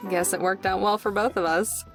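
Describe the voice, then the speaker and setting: An actress playing a guarded young woman in a visual novel, dry and deadpan, with faint music underneath. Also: medium pitch, fairly quick, close to an everyday voice. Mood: dry teasing. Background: game music.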